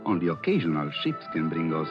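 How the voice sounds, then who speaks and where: An actor playing a suave, courteous Latin American gentleman in a formal 1940s radio advertisement. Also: a bass voice, very animated, fairly quick. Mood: gracious. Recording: dull vintage radio, music behind.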